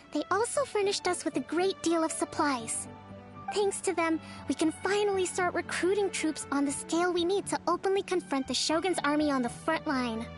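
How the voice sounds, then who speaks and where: A woman playing a composed, caring young resistance leader in a video game, speaking in a formal, measured, earnest way. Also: sits high. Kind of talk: scripted video game dialogue.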